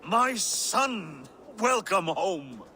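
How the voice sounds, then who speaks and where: An actor playing an older Viking king who speaks in a measured, persuasive, lordly manner. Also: high voice, very animated, noisy background, full character voice. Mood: welcoming. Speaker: game character. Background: game score music.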